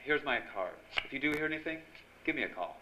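A man's voice: medium pitch, animated, quick pace, natural voice. Man acting wisecracking American noir reporter, muffled noisy recording. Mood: probing. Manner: breezy, slangy, hardboiled. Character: wisecracking, persistent male newspaper reporter, noir hero. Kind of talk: old-time radio noir drama acting and narration. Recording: dull lo-fi live stage recording, clear noise.

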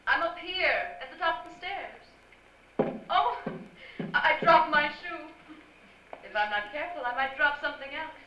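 High, lively sultry femme fatale. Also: female voice, a little slow, pauses often, full character voice. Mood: coy, seductive. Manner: coy, melodramatic. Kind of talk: 1940s-style radio drama acting. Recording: dull, faintly noisy stage recording.

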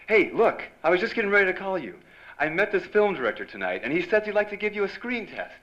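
An actor playing an evasive, slangy newspaper reporter in a noir radio drama. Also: male voice, high voice, sing-song, quick pace, a bit bright, dull audio. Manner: hesitant, stammering when cornered, slangy. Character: evasive hardboiled male newspaper reporter, scotch-drinking night owl.

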